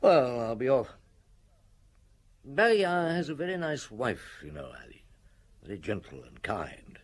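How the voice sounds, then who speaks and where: An actor playing a shrewd, unhurried, wry middle-aged chief inspector with a husky voice in a radio drama. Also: male voice, mid-range voice, big pitch swings. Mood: wry, probing.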